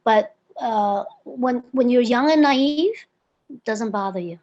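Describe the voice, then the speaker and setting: A woman's voice: a little high, a little slow, a little mellow, lo-fi sound. A woman in her fifties, born in Hong Kong and raised in the United States, eagerly telling her story as an interview guest. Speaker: guest, real estate broker. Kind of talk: conversational interview.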